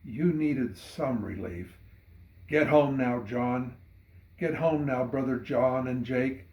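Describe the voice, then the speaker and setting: A man's voice: medium pitch, takes it slowly, lo-fi sound, lightly acted. A man voicing a cheerful, kindly neighbor farmer with a hearty, emphatic manner in a poem reading. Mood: kindly, reassuring. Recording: roomy echo.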